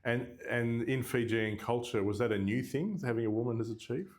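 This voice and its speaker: A man's voice: mid-range voice, a little fast. A man hosting a casual church interview, prompting his guest with short follow-up questions.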